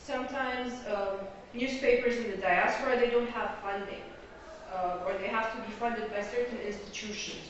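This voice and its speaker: A woman's voice: a little high, normal speed, slight background noise. An Egyptian woman giving an academic presentation as a graduate student, explaining her points and checking in with her listeners. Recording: echoey hall, distant lo-fi mic.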